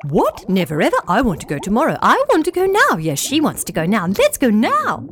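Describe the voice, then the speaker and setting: A woman voicing a chorus of excitable little fish that gush and squeal over each other. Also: on the high side, a little fast. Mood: whiny.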